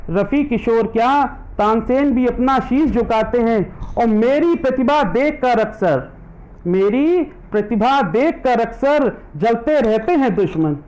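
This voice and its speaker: High-voiced man reciting poetry live. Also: darkish tone, poor audio. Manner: repeats each verse line, chants devotional verses; greets viewers by name between poems. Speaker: poet; government teacher.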